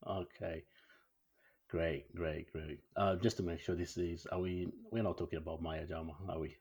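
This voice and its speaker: A man's voice: low voice, flat delivery, normal speed, a little mellow, slightly rough. A man hosting a casual interview, phrasing questions hesitantly and often repeating short acknowledgements. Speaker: interview show host.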